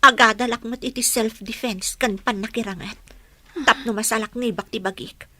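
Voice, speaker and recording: Casting: distressed, earnest young heroine in radio play; high-pitched, close dry sound, pleading delivery. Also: female voice, steady tone, normal speed, sharp tone, dry recording. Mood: subdued, determined. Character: earnest, distressed young woman left alone by her family. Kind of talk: Ilocano radio drama acting.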